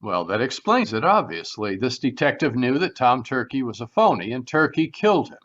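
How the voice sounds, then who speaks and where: A man's voice: mid-range voice, big pitch swings, normal speed, fairly bright tone. Guy playing a wordy stuffy radio mystery man brushing off a detective, kinda dull lo-fi sound. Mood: defensive. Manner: formal, dismissive, wordy. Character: smooth, evasive man fending off a detective. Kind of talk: old-time radio mystery acting. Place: remote live video call performance. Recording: dull lo-fi video call audio.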